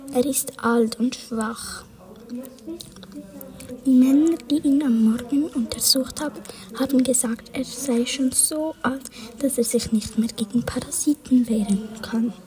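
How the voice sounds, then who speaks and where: A woman's voice: sits high, measured pace, warm tone, low-quality recording. A woman whispering a children's story, adding wandering asides.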